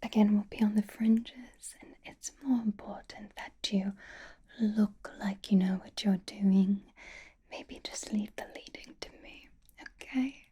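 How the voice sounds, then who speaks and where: Woman acting a possessive female vampire, very breathy whisper, slow genteel delivery, dark soft tone, intimate ASMR roleplay. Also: mid-range voice, fairly even intonation. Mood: doting, coaxing. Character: doting, possessive, elegant female vampire at a gala.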